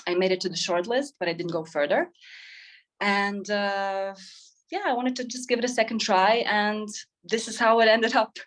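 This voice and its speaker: A woman's voice: mid-range voice, normal speed. A woman, explaining herself reflectively as an artist in an informal interview. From Croatia. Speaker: interview guest, painter. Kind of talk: informal interview, describing own work.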